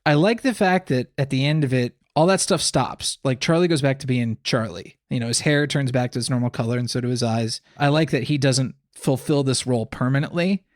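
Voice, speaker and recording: A man's voice: medium pitch, a little fast, few pauses, no room sound. Man podcaster thinking aloud, dry sound. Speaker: podcast co-host. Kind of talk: casual analytical book discussion.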